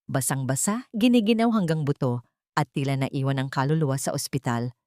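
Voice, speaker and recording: A woman's voice: very deep voice, brisk, darkish tone, no room sound, clean studio sound. A woman narrating a scripted dramatic story as an audiobook.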